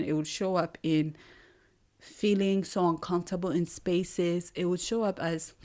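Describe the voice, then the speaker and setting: A young woman with a Ghanaian accent hosting a solo podcast, talking in a thoughtful, earnest way and pausing to find her words. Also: lowish voice, a little mellow. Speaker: podcast host. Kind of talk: reflective solo podcast monologue.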